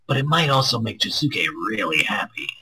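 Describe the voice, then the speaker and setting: A man with a husky, strained voice reading a visual novel aloud expressively, with crude joking asides and stumbles over lines. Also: mid-range voice, very animated, brisk, sharp tone. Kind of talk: expressive read-aloud with casual banter.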